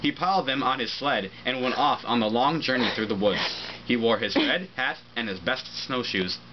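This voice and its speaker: A man's voice: mid-range voice, normal speed. A man reading a children's storybook aloud to friends, adding joking asides. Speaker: reader of a children's picture book. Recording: muffled amateur recording with loud background noise. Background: friends talking and laughing over noise.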